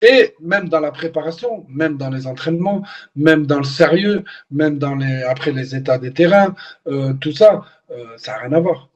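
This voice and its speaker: A man's voice: on the high side, lively intonation, moderate pace, dull audio. A man born and raised in France, a former footballer speaking candidly as an interview guest, often repeating words as he searches for them. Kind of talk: casual interview.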